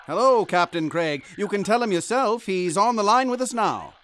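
An actor playing an urgent radio news anchor, formal and grave, in an old-time radio drama bulletin style. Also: male voice, high-pitched, animated, moderate pace, a bit bright. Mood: formal, concerned. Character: urgent male radio news anchor reporting worldwide invasion.

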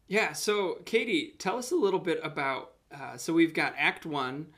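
A man's voice: a little high, measured pace, a bit bright. A man hosting a podcast, talking casually as he interviews a guest and addresses listeners. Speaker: podcast host.

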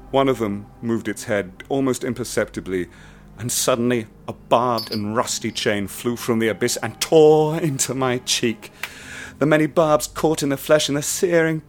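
A man narrating a first-person horror radio play, hushed and intense. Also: medium pitch, slight background noise. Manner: hushed and intense, rising to ecstatic. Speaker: narrator and protagonist.

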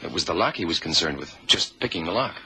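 An actor playing a terse, matter-of-fact FBI sidekick in a radio drama. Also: male voice, low voice, expressive, fast talker, bright, forceful tone, slightly rough, exaggerated voice. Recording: 1970s radio drama, faint noise.

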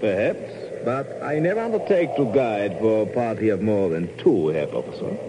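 An actor playing a formal, evasive European mountain guide in a 1950s radio drama. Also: male voice, fairly low pitch, big pitch swings, moderate pace. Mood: polite, evasive. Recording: noisy old radio broadcast.

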